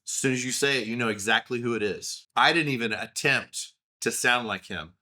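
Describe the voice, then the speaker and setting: A man's voice: mid-range voice, moderate pace, bright, forceful tone. A man in his thirties with an Oklahoma accent, telling rambling, self-deprecating stories in a casual solo talk.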